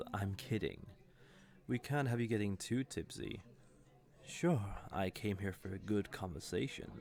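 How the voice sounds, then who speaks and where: A man's voice: on the low side, vocal fry. A man playing a cocky, suave fantasy villain who teases and flirts smugly in an audio roleplay. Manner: smug, playful, unhurried teasing with soft chuckles. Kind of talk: scripted audio roleplay addressed to the listener.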